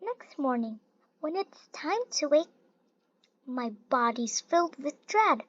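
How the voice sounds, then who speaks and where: A reader telling a rhyming children's story in an expressive, deliberate storytelling manner. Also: sits high. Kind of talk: read-aloud of a rhyming children's picture book. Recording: dull lo-fi recording, little room sound.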